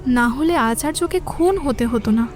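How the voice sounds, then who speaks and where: An actress playing a gentle young village woman in an audio drama, speaking in an earnest, measured way. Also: on the high side, lightly acted. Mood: grave, secretive. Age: young adult. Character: gentle, soft-natured young village woman, childhood friend.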